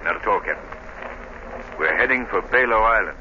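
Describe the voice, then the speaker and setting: Man playing a polished gentleman sleuth, very lively pitch. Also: normal speed, lightly acted. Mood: cool, probing. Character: cool, inquisitive wealthy gentleman sleuth. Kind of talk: 1930s radio acting. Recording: dull, noisy 1930s radio recording.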